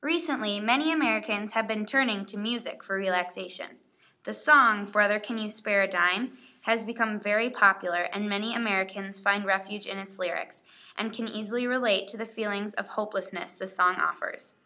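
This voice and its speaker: Woman playing bright-voiced 1930s radio newswoman. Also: medium pitch, a little fast, lightly acted. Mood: earnest. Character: 1930s radio newswoman reporting business and entertainment. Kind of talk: scripted 1930s radio news reading.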